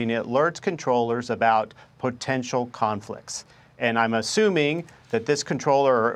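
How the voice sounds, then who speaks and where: A man's voice: mid-range voice, a bit bright. A man, a former government spokesman, giving unscripted expert commentary in an interview, often repeating words as he starts.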